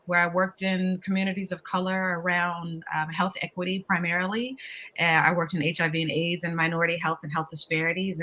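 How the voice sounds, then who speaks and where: A woman in her fifties introducing herself on a panel, earnest and measured, with many fillers. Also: mid-range voice, pushed and bright.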